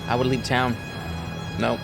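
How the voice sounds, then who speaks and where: A man's voice: mid-range voice, monotone, measured pace, vocal fry, music in the background. A young adult man giving casual, unscripted reaction commentary as host of a video.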